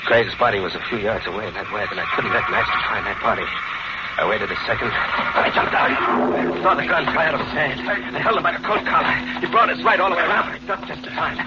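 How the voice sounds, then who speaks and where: A man's voice: medium pitch, quick pace, lightly acted. An actor playing a nervous, guilt-ridden hearse driver who narrates his own downfall in an old radio noir, stammering when cornered. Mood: tense narration. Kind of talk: old-time radio noir acting and first-person narration. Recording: noisy, dull old radio drama recording.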